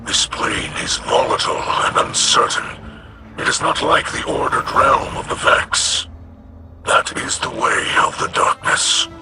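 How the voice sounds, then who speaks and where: Guy doing a grave alien warrior, growly breathy rasp, very formal, game dialogue with music loud behind. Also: a little slow, natural voice. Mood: solemn warning. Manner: solemn, formal speech without contractions. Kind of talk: scripted video game dialogue.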